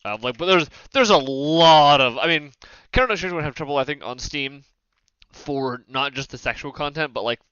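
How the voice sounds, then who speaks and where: A man with a bright, smooth voice hosts a casual panel discussion. He is emphatic and opinionated and swears freely. The sound is dry and a little dull. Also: a little high, lively intonation, normal speed, dry recording.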